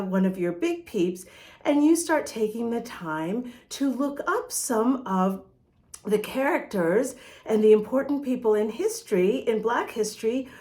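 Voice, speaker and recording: A woman reading a picture book aloud to young children as a storytime host, expressive and often speaking to them directly with questions. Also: fairly high pitch.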